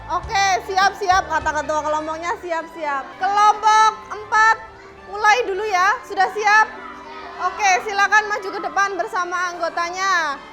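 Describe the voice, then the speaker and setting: A woman's voice: very high voice, fairly even intonation, unhurried, music in the background, a crowd in the background. A woman working as a primary school teacher, giving classroom instructions and prompting pupils with repeated questions and directions.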